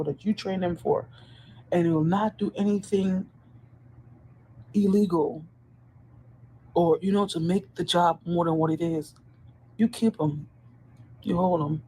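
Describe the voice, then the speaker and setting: A woman's voice: on the low side, darkish tone, slight background noise, poor audio. Earnest woman on a personal video. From Dominican Republic. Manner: earnest, emphatic, restarts and repeats phrases. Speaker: corrections officer. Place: on duty at a hospital post.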